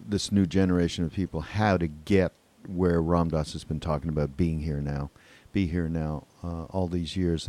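A man hosting a podcast in casual interview chat, halting and often repeating his words. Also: deep voice, warm tone. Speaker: podcast host.

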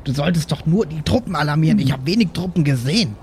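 A man's voice: fairly high pitch, expressive, fast talker, darkish tone, slight background noise. A man role-playing casually in an improvised tabletop game, talking in a challenging, questioning way.

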